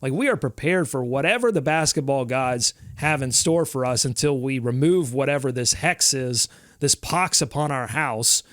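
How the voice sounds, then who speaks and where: A man's voice: medium pitch, lively intonation, moderate pace, fairly bright tone, no echo, clean studio sound. A male sports podcast host talking in a loose, rambling way, playfully dramatic about his team's woes. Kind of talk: casual sports talk.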